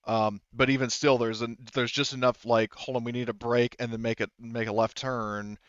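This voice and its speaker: A man with a smooth, clear voice and medium pitch explains things casually at a game table. He is talky and works out his thoughts aloud. The recording is dry and slightly dull. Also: flat delivery, normal speed, no echo. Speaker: tabletop roleplaying game participant.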